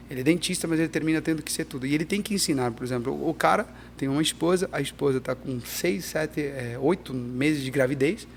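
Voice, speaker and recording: Animated pastor, higher-pitched man. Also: creaky, slight background noise. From Peru and Brazil. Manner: animated, emphatic, restarts often. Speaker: missionary pastor, guest. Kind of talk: conversational interview.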